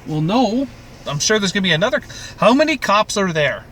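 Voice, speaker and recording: A man's voice: sits high, very animated, brisk, a bit bright, slight background noise. A man from Canada co-hosting a podcast, chatting casually about TV in an exasperated, sweary, ranting way. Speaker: podcast co-host. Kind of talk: casual TV recap chat.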